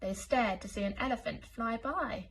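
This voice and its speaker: A woman storyteller reading a children's picture book aloud in an expressive, deliberate way. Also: on the high side, husky. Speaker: school storyteller.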